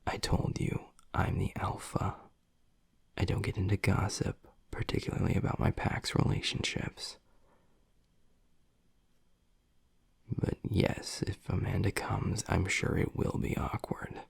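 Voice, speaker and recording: A man playing a gruff young werewolf pack alpha and protective, secretly tender boyfriend, murmuring bluntly in a husky voice in an intimate audio roleplay. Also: completely flat delivery, normal speed, dry recording, whispered, heavily acted. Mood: dry, matter-of-fact. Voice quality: husky, gravelly, breathy low voice.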